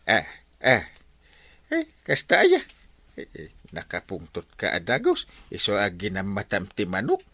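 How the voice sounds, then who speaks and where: A man's voice: lowish voice, wildly swooping pitch, a little slow, bright, forceful tone, no room sound, heavily acted. An actor playing a village man who teases his angry friend and keeps laughing, in a radio comedy drama. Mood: teasing. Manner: teasing, laughs a lot. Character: chuckling male friend of the angry husband.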